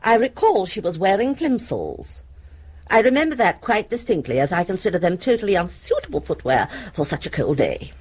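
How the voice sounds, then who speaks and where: A woman's voice: mid-range voice, sing-song, brisk, a little mellow, slightly rough. A woman acting a prim, fussy middle-aged housewife in a radio drama, speaking formally and precisely. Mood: certain, prim.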